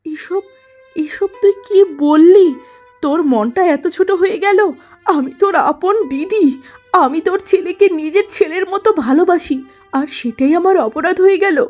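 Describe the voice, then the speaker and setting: A woman voicing a gentle, sorrowful elder sister in a melodramatic cartoon story, plaintive and self-pitying. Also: very high voice, measured pace, faint music behind. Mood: hurt.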